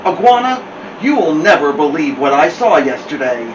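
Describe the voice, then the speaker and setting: A small storybook mosquito played by a man, boastful and excitable. The voice sits high and carries from a little way off in a roomy, noisy space. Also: moderate pace, distant mic, muffled recording. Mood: excited boasting.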